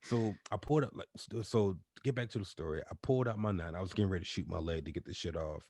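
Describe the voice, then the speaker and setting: A man's voice: quick pace, a little mellow, no room sound, clean studio sound. A man co-hosting a podcast, telling a story in a casual, profane way and often repeating his words. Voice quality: deep voice. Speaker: podcast co-host. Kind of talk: casual storytelling among friends.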